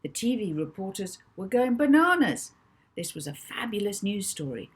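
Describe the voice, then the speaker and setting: A woman's voice: medium pitch, big pitch swings, moderate pace, husky. A woman narrating a children's novel as its author, in scripted audiobook style. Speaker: author reading own novel.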